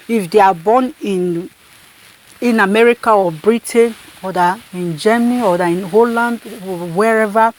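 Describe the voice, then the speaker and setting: A woman's voice: mid-range voice, poor audio. Insistent woman giving her opinion. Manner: insistent, repetitive, many restarts. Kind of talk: commentary.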